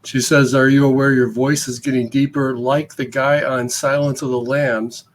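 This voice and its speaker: A man's voice: medium pitch, level tone, normal speed. A man speaking casually as a panelist on a group chat show, passing along remarks to the others. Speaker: panelist reading out a viewer comment.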